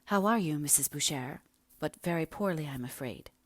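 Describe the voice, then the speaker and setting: Woman reading a kind steady young lady, quiet and low, careful wording, storybook heroine, dry sound. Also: no echo. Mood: gently concerned. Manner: low, slow, careful speech. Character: gentle, compassionate young lady, softly spoken and resolute.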